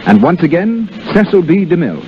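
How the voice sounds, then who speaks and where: A polished male radio pitchman from the 1930s with a fairly high, lively voice. The recording is muffled. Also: fairly quick, a little hiss or hum. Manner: polished, salesmanlike. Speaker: radio announcer. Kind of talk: 1930s radio advertisement read.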